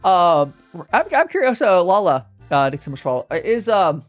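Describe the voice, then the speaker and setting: A young man chatting through a relaxed stream Q&A, his voice swinging up and down animatedly. He stumbles and restarts his sentences often as he reads chat questions aloud. Soft music plays quietly underneath. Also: sits high, normal speed. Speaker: streamer answering viewer questions. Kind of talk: casual livestream Q&A.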